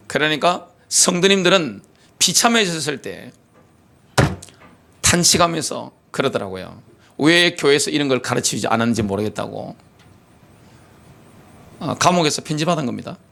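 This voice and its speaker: A middle-aged male pastor delivering an impassioned sermon, firing off rhetorical questions, then dropping to quiet asides. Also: on the high side, gravelly. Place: church sanctuary.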